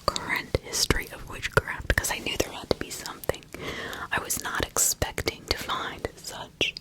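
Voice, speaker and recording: A woman whispering ASMR true-crime commentary in a breathy, unvoiced, conversational way with emphatic repetition. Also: normal speed. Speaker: ASMR host.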